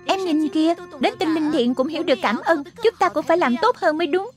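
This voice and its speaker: A woman's voice: high voice, animated, fast talker, soft background music. A woman voicing a small, childlike lion spirit in a children's cartoon dub, explaining things earnestly and patiently like a teacher. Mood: patient teaching. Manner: didactic, explanatory.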